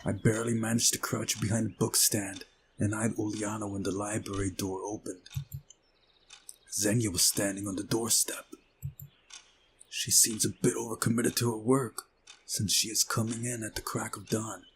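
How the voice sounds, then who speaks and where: A man's voice: lowish voice, flat delivery, normal speed, slight background noise. A man reading a visual novel aloud as narration, with casual asides of his own. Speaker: let's play narrator. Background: game music.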